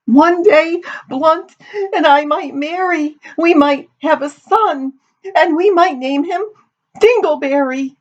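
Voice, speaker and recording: Storybook farm daughter: young, weepy, sentimental, very high plaintive sobbing voice. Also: female voice, slow pace, lo-fi sound. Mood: tearful, despairing.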